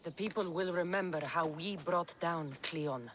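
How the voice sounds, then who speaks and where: An actress playing a tough young mercenary heroine in a video game cutscene, speaking bluntly and plainly. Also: female voice, on the low side, measured pace, fairly bright tone, slight background noise. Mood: earnest. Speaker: game character.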